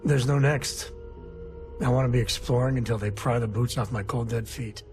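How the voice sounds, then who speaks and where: A man's voice: medium pitch, steady tone, moderate pace, darkish tone, soft background music, natural voice. A man playing an easygoing, folksy space explorer and single father in a video game. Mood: easygoing, reflective. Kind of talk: video game character dialogue.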